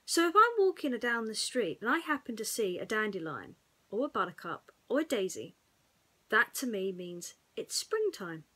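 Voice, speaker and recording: Animated woman telling bedtime stories. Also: on the high side, normal speed, breathy edge. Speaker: bedtime storyteller. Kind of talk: gentle chat to children and expressive story narration.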